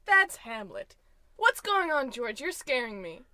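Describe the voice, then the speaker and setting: A woman's voice: high voice, big pitch swings, a little slow, sharp tone, no echo, exaggerated voice. A woman playing a worried, exasperated wife in old-time radio drama style, emotive and pleading. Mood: concerned, puzzled.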